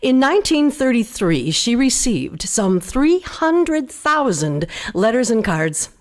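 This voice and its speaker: A woman playing a courteous network radio news host in live theater, with a formal announcer delivery. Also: fairly high pitch. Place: live stage before a studio audience in a church.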